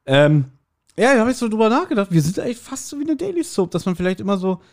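A man's voice: sits high, sing-song, quick pace, darkish tone. A man co-hosting a podcast in casual banter, rambling in a playful, self-mocking way. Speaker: podcast co-host.

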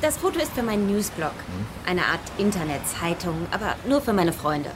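A woman playing a bright, confident schoolgirl reporter in a children's audio drama. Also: on the low side, very animated, moderate pace, background noise. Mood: eager, confident. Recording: street ambience behind the dialogue.

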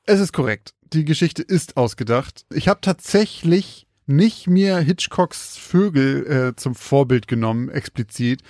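A man's voice: on the high side, animated, a little slow, hardly any pauses, darkish tone, dry recording, clean studio sound. A man chatting casually as a podcast co-host, telling things in a colloquial storytelling way.

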